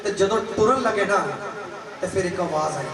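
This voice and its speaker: High-pitched male preacher in an echoing hall. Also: a little fast. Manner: dramatic delivery, acts out dialogue, repeats phrases for emphasis. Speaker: Islamic preacher. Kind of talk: animated religious storytelling sermon to a gathering. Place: large gathering with audience. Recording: echoey reverberant hall, distant mic, crowd.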